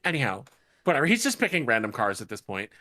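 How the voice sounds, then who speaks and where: Sardonic woman with lively pitch, reading a script. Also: fast. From New York state. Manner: sardonic, incredulous asides. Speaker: podcast co-host reading stage directions. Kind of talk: script table read with joking commentary.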